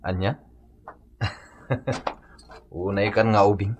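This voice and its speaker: An actor playing a forgiving village uncle in a radio comedy-drama, expressive and easygoing, with an Ilocano accent. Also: male voice, fairly low pitch, very slow, highs cut off, slight background noise. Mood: forgiving, amused. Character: reformed hot-tempered village man, now forgiving uncle.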